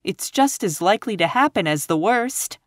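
A narrator's eager, high-pitched teenage girl. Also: animated, normal speed, dry recording, studio quality, lightly acted. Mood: pleading. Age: teens. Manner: earnest, pleading, effusive. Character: eager, idealistic sixteen-year-old girl, ardently optimistic.

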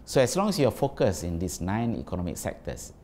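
A man giving formal, deliberate interview answers as an official, pausing with fillers. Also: deep voice, sing-song, mellow, a little hiss or hum.

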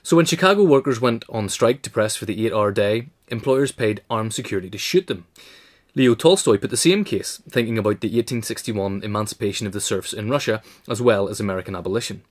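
A man's voice: lowish voice, expressive, brisk. A man narrating a scripted essay as its reader.

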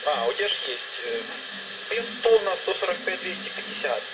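A man's voice: sits high, sing-song, a little fast. A man talking casually and colloquially as an amateur operator, heard over a two-way radio. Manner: colloquial, informal advice-giving. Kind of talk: casual ham radio chat. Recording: very noisy two-way radio transmission.

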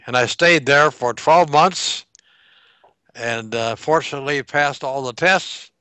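A man in his nineties, a war veteran, recalling his past slowly over a video call, pausing to get dates right. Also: mid-range voice, bright, forceful tone, clear, resonant tone, no room sound. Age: 90s. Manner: slow, reflective recollection with pauses to recall dates. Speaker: World War Two veteran interviewee. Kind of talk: oral history interview over video call. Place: home room by a staircase. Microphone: headset on video call.

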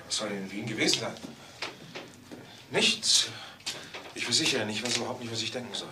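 A man playing a shaken, evasive friend of a murder victim in a radio crime play, halting and repeating himself. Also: lowish voice, lightly acted. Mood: evasive, nervous. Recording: noisy old radio play recording.

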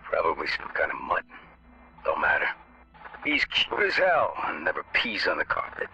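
An actor playing a gruff but kind older male survivor in a video game, blunt, folksy and fatherly. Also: extremely low pitch, huge pitch swings, moderate pace, bright, forceful tone, full character voice. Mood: gruff, fond. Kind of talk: casual video game dialogue. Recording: muffled game audio, clearly noisy background.

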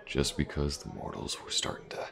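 A man playing a tough, easygoing mercenary warrior in a fantasy audio roleplay, talking in a laid-back, musing murmur with unhurried pauses. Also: a bit bright, natural voice. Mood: musing, cautious. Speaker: voice actor. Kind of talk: fantasy audio roleplay, intimate conversational acting.

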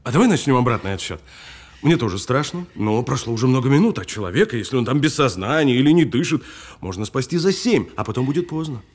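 Audio-film detainee, sardonic and unflappable, husky edge, very varied intonation, deliberate needling. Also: male voice, mid-range voice, sing-song. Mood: goading, intense. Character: cool, taunting detained male actor bluffing a policeman. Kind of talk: naturalistic audio film drama acting.

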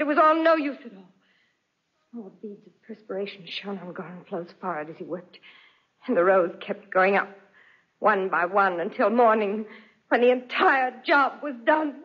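An actress playing an anguished, terrified wife haunted by guilt in a melodramatic 1940s radio drama. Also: female voice, mid-range voice, slightly rough. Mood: haunted recounting. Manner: melodramatic, pleading, breathless delivery. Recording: muffled, dull 1940s radio recording.

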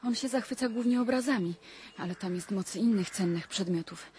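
A woman's voice: medium pitch, a little fast, darkish tone, slight background noise, close to an everyday voice. An actress playing a capricious, provocative young well-born woman in a radio drama, restless, teasing and impulsive. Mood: confiding.

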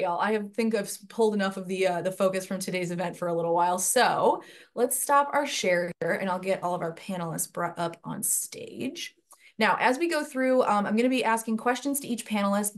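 A young adult woman hosting an online panel from a room at home, speaking into a headset mic in a chatty, rambling way. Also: mid-range voice. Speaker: host.

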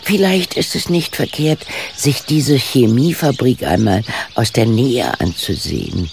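Nosy older lady sleuth, radio play, polite but wry, very gravelly, noisy background. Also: a bass voice, expressive, measured pace, full character voice. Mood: wry, shrewd. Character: inquisitive older female amateur sleuth, polite and shrewd. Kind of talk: German radio play acting.

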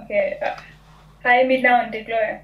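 A young woman from Denver chats with a stranger, her voice high and giggly, full of hesitant ums. She sounds set back from the mic in an echoey room, with faint guitar behind her. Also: steady tone, normal speed, lo-fi sound, a crowd in the background. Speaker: stranger on a video chat. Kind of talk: casual chat. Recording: distant, roomy video chat audio.

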